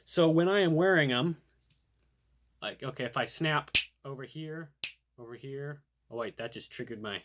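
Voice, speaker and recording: A man's voice: mid-range voice, normal speed, pauses often, background noise. A man giving a casual hands-on product review, explaining things in an informal, conversational way.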